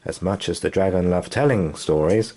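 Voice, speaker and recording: A man reading a children's bedtime story aloud in an animated storytelling style. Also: low-pitched, normal speed.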